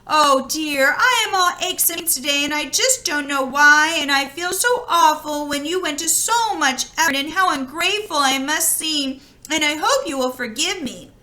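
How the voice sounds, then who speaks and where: A woman's voice: sits high, expressive, moderate pace, hardly any pauses, sharp tone, voice pushed up. A woman voicing a polite, gushing young princess in a children's fairy tale. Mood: apologetic.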